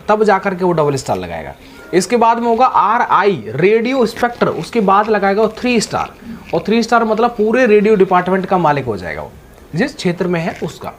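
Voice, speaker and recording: A man giving exam guidance in an informal, repetitive, colloquial way, addressing viewers directly. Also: sits high. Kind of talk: informal explainer with sponsor plug. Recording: faint background noise.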